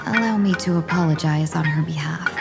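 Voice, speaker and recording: Devoted young female warrior aide: game character, lowish pitch, courteous and deferential, music underneath. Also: a little fast, vocal fry. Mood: polite. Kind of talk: scripted video game acting.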